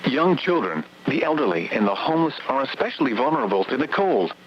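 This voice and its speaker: A muffled weather radio broadcast with faint noise carries a synthetic male announcer. The mid-pitched voice reads warning bulletins formally, in measured, segmented phrases, like an automated alert system. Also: very animated, gravelly. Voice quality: synthetic text-to-speech voice.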